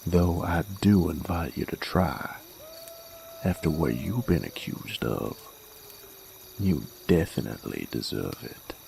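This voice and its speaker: Male actor as a menacing cowboy bounty hunter, deep voice, American Western drawl, gravelly, sardonic, taunting, audio roleplay, faint crickets behind. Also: expressive, darkish tone, a little hiss or hum, heavily acted. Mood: quietly threatening. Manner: folksy, taunting, unhurried drawl. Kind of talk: Western audio roleplay, intimate dramatic monologue. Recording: faint outdoor night ambience with crickets. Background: crickets and insects.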